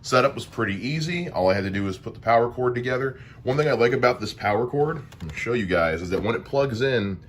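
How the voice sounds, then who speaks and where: A man's voice: quick pace, hardly any pauses, a little hiss or hum. A man hosting a podcast, casually walking through his studio gear on a dynamic broadcast mic, with frequent "uh" fillers. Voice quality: deep voice. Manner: relaxed and explanatory, with frequent "uh" fillers. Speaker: podcast host. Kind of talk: casual gear unboxing walkthrough.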